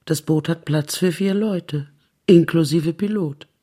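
A determined scientist in a radio drama. Her voice is husky and mellow in tone, and she speaks brusquely, giving orders. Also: low voice, measured pace. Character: determined, brusque German female scientist piloting a submarine. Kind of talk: radio play dialogue.

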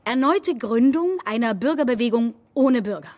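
A woman's voice: on the high side, expressive, normal speed, a little mellow, natural voice. Actress as a pushy corporate manager woman. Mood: presenting. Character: pushy, self-important agency manager woman. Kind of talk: satirical radio drama acting.

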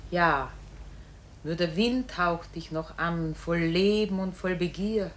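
An actress playing a calm, otherworldly inner voice that summons a man, solemn and measured, in a radio play. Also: on the low side. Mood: solemn, assured. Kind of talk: German radio play acting. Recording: noisy old radio play recording.

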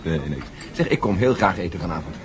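An actor in a radio play voicing a general, a genial old friend with a dryly teasing manner. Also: male voice, deep voice, animated, quick pace, a crowd in the background. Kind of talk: radio drama acting. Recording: noisy café ambience behind voice.

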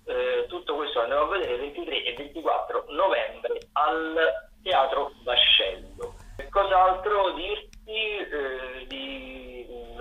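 A man giving spoken listings of theatre and dance events as a radio correspondent, haltingly, searching for words. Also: medium pitch, lo-fi sound. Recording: roomy echo, faint background noise.

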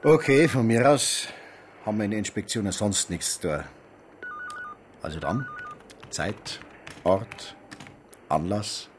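An actor playing an easygoing small-town police desk officer, chatting in colloquial Bavarian as in a radio drama. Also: male voice, fairly low pitch, very animated, slow, long pauses, background noise. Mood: grudging. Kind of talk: naturalistic radio drama dialogue.

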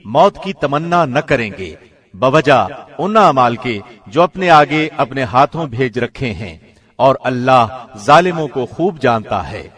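A man reading a scripture translation like a radio presenter, formal, solemn and measured. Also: medium pitch, lively intonation, fairly bright tone, breathy edge.

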